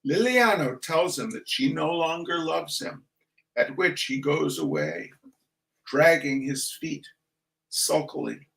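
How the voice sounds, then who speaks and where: A man reading a comic literary piece aloud in a theatrical, mock-serious manner with dramatic pauses. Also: a little high, very animated, gravelly, fairly bright tone, poor audio. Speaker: reader.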